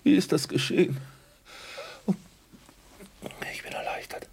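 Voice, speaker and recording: A man playing a dying, guilt-ridden older priest in a radio drama, gasping his confession in halting whispers and anguished bursts. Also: a little mellow, natural voice. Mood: anguished, desperate. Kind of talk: German radio drama acting.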